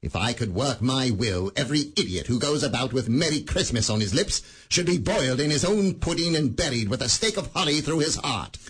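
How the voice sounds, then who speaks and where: Husky miserly old Scrooge. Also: male voice, medium pitch, lively intonation, brisk, hardly any pauses, fairly bright tone. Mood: scornful. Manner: clipped, scornful. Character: miserly bitter old male moneylender Scrooge. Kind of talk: radio play acting.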